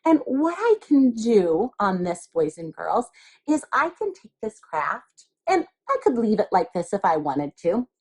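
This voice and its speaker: Lady teacher doing a kids craft lesson, takes her time, super singsongy pitch, simple patient step by step. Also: very animated, unhurried, darkish tone, breathy edge, low-quality recording. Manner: simple, patient explaining with repeated phrases and questions to children. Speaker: elementary school craft teacher.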